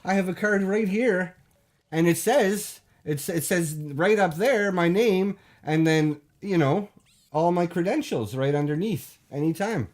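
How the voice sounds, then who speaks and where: A man hosting a call-in show, bantering deadpan with a caller, full of hesitations and restarts. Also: high-pitched, unhurried. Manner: hesitant, full of ums and restarts, straight-faced.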